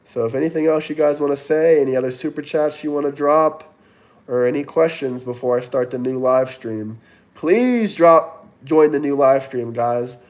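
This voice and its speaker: A man's voice: medium pitch, moderate pace, a little mellow. A man in his twenties from Florida, hosting a casual livestream and answering viewer chat as he reads it out. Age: 20s. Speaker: livestream host.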